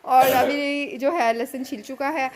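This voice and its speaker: A woman's voice: high-pitched, fairly even intonation, measured pace, a little hiss or hum. A woman in her thirties with a Pakistani Urdu accent, chatting casually in a home vlog and talking to her children. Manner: chatty, scolds and coaxes children. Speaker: vlogger and mother. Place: home and restaurant.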